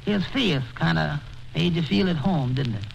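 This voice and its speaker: Homely simple fellow, very creaky, high-pitched, radio sketch, faint hiss. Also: male voice, animated, normal speed, highs cut off. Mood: fond, wondering.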